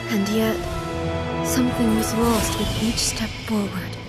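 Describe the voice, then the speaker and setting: A solemn thunder goddess played by a woman in a video game, with a fairly high female voice and a regal, formal bearing. She speaks in measured, weighty phrases, with clear music behind her. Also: fairly even intonation, lightly acted. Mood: mournful. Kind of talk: scripted video game acting.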